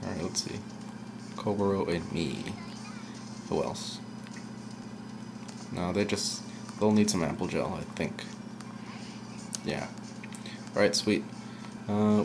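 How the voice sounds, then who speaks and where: A young man giving casual, rambling commentary while playing a video game, his voice low and at times monotone. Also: slow, gravelly, pauses often, background noise. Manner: rambling, chatty, drifts off topic. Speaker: let's play gamer. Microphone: camera aimed at the game screen.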